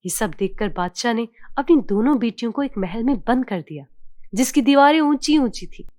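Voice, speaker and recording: A woman's voice: a little high, expressive, moderate pace, a little mellow, no echo, clean studio sound. A woman narrating a scripted children's story as a storyteller.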